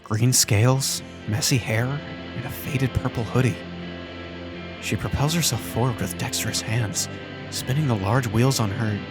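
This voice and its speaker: A man playing a self-conscious high school senior, narrating a visual novel fan dub in the first person with a wry, introspective manner. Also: normal speed, over music, close to an everyday voice. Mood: startled narration.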